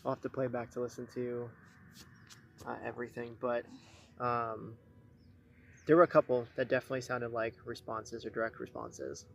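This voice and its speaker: A man's voice: medium pitch, flat delivery, moderate pace, vocal fry, pauses often, slight background noise. A man talking casually outdoors during a ghost hunt. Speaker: paranormal investigator. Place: outdoors in a park.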